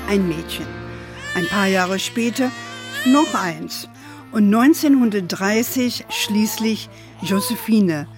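A gentle, playful grandmother tells her family history as in an audio drama. She speaks slowly, in a measured storybook way, with music clearly playing underneath. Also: medium pitch.

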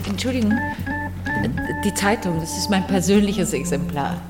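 An actress playing a composed, wry patient in a radio drama, polite and understated with a stranger. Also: female voice, medium pitch, expressive, moderate pace, over loud music, lightly acted. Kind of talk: naturalistic German radio drama. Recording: echoey room with clear background noise.

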